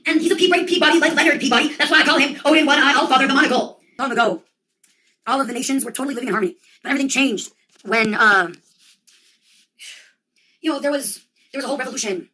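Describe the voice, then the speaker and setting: A woman's voice: high-pitched, fast, pauses often, pushed and bright, far from the mic. An American woman giving a rambling, casual monologue full of slang, acting out bits of mock dialogue as she goes. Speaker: monologue host.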